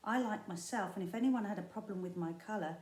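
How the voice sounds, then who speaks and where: A woman's voice: medium pitch, fast. Woman teacher reading aloud in an echoey room. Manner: expressive storytelling with small slips and asides. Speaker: primary school teacher. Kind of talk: reading a children's book aloud.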